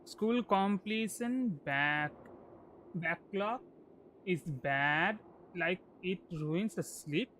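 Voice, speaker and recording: A man casually commentating a game on a live stream, halting and often repeating his words mid-sentence. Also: high voice, expressive, fairly bright tone, a little hiss or hum.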